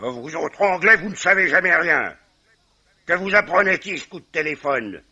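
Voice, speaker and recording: An actor playing a gruff, middle-aged Irish clan chief, commanding and sarcastic, in 1950s French radio drama style. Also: male voice, fairly high pitch, expressive, moderate pace, sharp tone, full character voice. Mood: indignant. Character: gruff, bossy Irish clan patriarch, hot-headed and scornful. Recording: dull, band-limited old radio recording.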